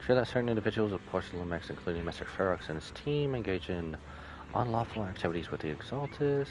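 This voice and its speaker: A man's voice: low-pitched, animated, fast, background noise. A man voicing a shrewd, polite corporate trader from a game, formal and businesslike.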